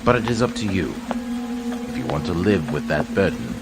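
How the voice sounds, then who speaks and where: An actor playing a solemn, manipulative mentor of thieves in a game cutscene, with a gravelly, formal, ominous delivery. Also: male voice, sits low, very animated, moderate pace. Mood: stern, goading. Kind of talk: dramatic game cutscene dialogue. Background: loud music.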